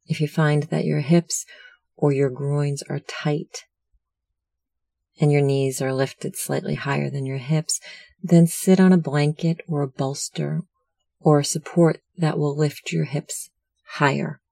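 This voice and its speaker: Slow, mellow, softly creaky meditation guide. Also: medium pitch, fairly even intonation, dry recording. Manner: measured, soothing instructional delivery.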